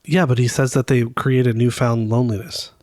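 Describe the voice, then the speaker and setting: Male co-host, average pitch, rapid casual delivery, supportive and agreeable, very dry sound. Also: steady tone, darkish tone, no echo. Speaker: podcast co-host. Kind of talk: casual podcast chat.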